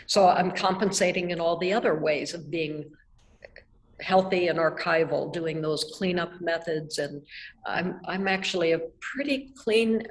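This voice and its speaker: An older woman from Nebraska, answering questions in a reflective, measured way over a video call. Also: on the low side, a little hiss or hum. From Nebraska, United States. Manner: reflective, measured, with soft laughs. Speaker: artist guest. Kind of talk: thoughtful conversational answers. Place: art gallery, indoors. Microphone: video call webcam.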